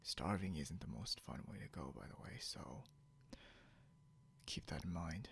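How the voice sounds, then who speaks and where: A low, heavily fry-laden male voice moves slowly, drifting between murmur and whisper over a noticeable hum. It is a possessive creature keeping a human captive, played by a man for ASMR roleplay, condescending and teasing yet grudgingly caring. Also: a bit bright, exaggerated voice. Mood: teasing, exasperated. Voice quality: gravelly, often breaking into whisper. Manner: halting, muttering, condescending. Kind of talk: ASMR roleplay, intimate second-person acting. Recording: noticeable background hiss or hum.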